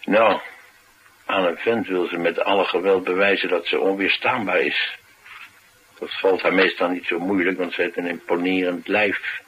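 An actor playing a streetwise, talkative driver, confiding and musing in a colloquial radio drama style. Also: male voice, low voice, little pitch movement, normal speed, a bit bright, lo-fi sound. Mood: wry, knowing. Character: streetwise, talkative male driver, wry and brooding. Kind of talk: naturalistic radio drama acting. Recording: noisy radio drama recording.

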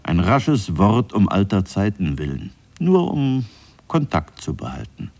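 An older man acting a dead father who speaks wryly from his grave in a radio drama, husky and brooding, with halting phrases. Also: low-pitched, darkish tone, full character voice.

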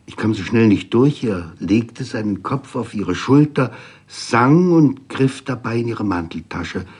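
A man's voice: mid-range voice, lively intonation, a little slow, a little mellow, breathy edge. A man reading scripted literary narration for a radio feature. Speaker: reader.

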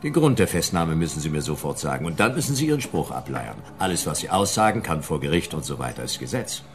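An actor playing a world-weary, wisecracking private detective, narrating a radio drama in a dry, laconic, ironic way. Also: male voice, a bass voice, big pitch swings, quick pace. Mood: defiant, mocking. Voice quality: gravelly.

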